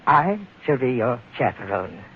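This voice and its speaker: Grandiloquent rogue trader, jovial, oily, pompous diction, medium pitch, 1940s radio drama, muffled recording. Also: male voice, big pitch swings, measured pace, breathy edge, full character voice. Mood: unctuous. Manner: florid, pompous, chuckling diction.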